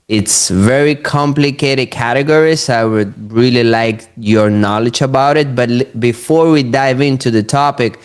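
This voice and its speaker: A man hosting a podcast interview, reading his intro haltingly and stumbling now and then. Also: medium pitch, clear, resonant tone. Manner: reads intro haltingly, stumbles over words. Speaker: podcast host.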